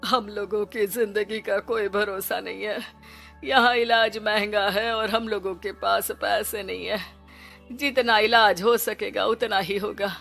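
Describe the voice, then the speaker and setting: A woman voicing a sick, desperate father who pleads in an animated moral story. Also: mid-range voice, a little slow, gravelly, faint music behind. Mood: desperate pleading.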